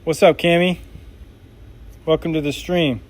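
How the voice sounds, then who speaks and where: A man's voice: on the high side, steady tone, unhurried. Laid-back upbeat man streaming from his workshop. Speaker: knifemaker streaming live. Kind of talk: casual live stream chat, reading viewer comments aloud.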